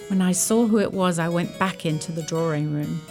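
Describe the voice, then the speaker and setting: An actress playing a composed, deferential housekeeper who narrates a period drama in a measured, plain, respectful manner. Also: female voice, lowish voice, over music, lightly acted. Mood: reflective. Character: composed, deferential housekeeper telling the family's story. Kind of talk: scripted narration and radio drama acting.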